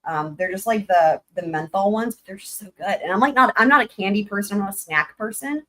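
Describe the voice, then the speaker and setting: A woman hosting a livestream in casual chat, rambling with many fillers and greeting viewers by name with upbeat shout-outs. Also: mid-range voice, a little fast. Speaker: livestream host.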